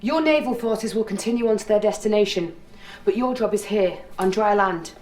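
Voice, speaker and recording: A woman playing a calm military intelligence officer in a video game, briefing in a formal, precise way. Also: medium pitch, normal speed, recorded from a distance, lightly acted, rough recording. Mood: urgent, businesslike. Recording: game audio with music behind.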